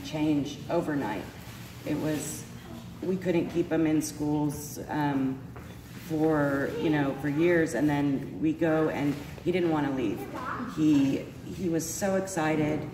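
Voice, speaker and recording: A woman, stuffy from allergies and a little sore-voiced, giving an earnest parent testimonial with many ums. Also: deep voice. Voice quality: slightly sore voice. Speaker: parent speaking at a school event. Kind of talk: personal testimonial speech. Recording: echoey hall, speaker far from mic, noisy.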